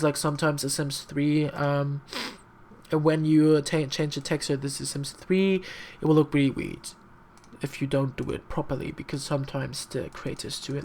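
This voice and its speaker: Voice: mid-range voice, a little mellow. A young adult whose first language is not English, talking through a casual screen-recorded tutorial in a rambling, chatty way, with many fillers and frequent apologies. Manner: frank, slightly sassy asides. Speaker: tutorial maker.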